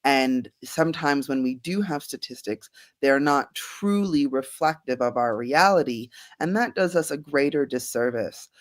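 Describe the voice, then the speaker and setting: A woman's voice: a bass voice, expressive, a little slow, few pauses. Earnest woman, thoughtful answers. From Bahamas. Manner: earnest, thoughtful, many fillers and restarts, soft laughs. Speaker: guest, climate scientist.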